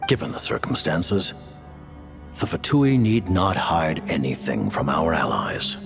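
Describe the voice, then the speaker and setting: A low, gravelly voice for a cold and formal leader. He speaks in a measured, grave way, with his pitch rising and falling widely. Quiet music plays under his voice, as in a game cutscene. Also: huge pitch swings, heavily acted. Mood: resolute. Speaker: Fatui leader. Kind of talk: video game voice acting.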